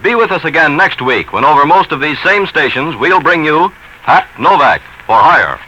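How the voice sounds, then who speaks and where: An American man announcing scripted credits in a formal, measured 1940s radio style. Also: on the high side, expressive, very noisy. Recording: muffled 1940s radio recording, loud music. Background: loud music.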